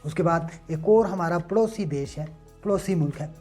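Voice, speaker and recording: A man's voice: high-pitched, moderate pace, warm tone. A man gives political news analysis. His delivery is emphatic and rhetorical, partly read and partly off the cuff. Music plays behind him. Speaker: online news commentator. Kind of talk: news analysis commentary, part scripted, part casual asides.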